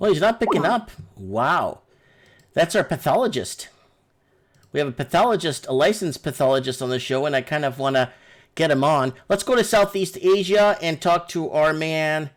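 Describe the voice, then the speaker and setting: Higher-voiced rambling man hosting a livestream. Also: normal speed. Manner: rambling, repetitive, addresses viewers as guys. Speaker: livestream host. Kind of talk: casual live call-in chat.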